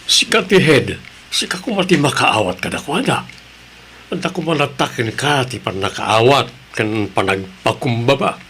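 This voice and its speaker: A man's voice: medium pitch, very animated, moderate pace, pushed and bright, a little hiss or hum. A man with an Ilocano Filipino accent playing an admonishing male coworker in an audio drama. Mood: reproachful. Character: reproachful male coworker urging patience and humility.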